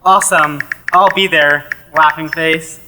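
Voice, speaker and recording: A man's voice: on the high side, fairly even intonation, a little slow, background noise, lightly acted. A young man playing an upbeat, sociable teenage boy in an audio play. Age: teens to young adult. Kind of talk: youth audio play acting.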